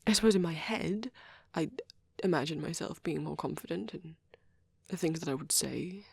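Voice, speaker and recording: Husky, shy young vampire boy played by a woman. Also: deep voice, moderate pace, no room sound, clean studio sound, full character voice. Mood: shy, flustered. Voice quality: soft, husky, breathy. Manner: hesitant, stammering, self-doubting. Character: shy, nervous young male vampire on a date. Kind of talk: ASMR boyfriend roleplay, improvised rambling.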